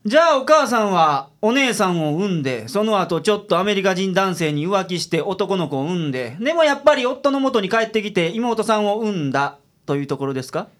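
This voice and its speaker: A man's voice: high-pitched, expressive, a little fast, hardly any pauses, fairly bright tone. A man playing a shabby, shrewd private detective with a Kansai accent and a dry, teasing manner in a radio comedy. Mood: probing. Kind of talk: radio comedy drama acting.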